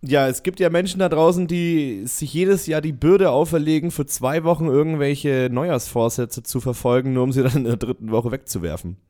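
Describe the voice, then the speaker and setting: A man's voice: fairly high pitch, moderate pace, few pauses, mellow. A young man, a student, co-hosting a casual podcast with dry, teasing remarks. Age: young adult. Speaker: podcast co-host. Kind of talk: casual chat.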